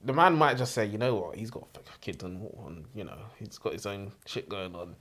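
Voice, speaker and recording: Lively-pitched man, slightly rough voice. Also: a little fast. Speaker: book review podcast co-host. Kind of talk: casual opinionated book discussion.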